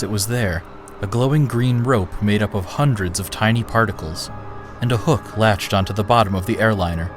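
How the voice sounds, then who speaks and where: A man narrating an action story in a steady, dramatic storytelling manner. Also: on the low side. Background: music.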